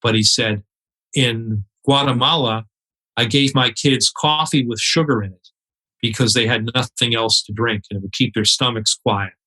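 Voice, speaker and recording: Bright-voiced earnest man telling stories. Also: medium pitch, steady tone, moderate pace, poor audio. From United States. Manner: earnest, quoting others with feeling, many restarts. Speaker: podcast guest, charity cyclist. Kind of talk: conversational interview storytelling. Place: home in New York City.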